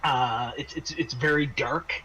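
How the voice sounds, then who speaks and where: A man acting as game master, improvising scene descriptions in halting phrases with frequent repeats. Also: mid-range voice, sharp tone, low-quality recording. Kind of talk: improvised narration of a tabletop game. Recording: faint background noise.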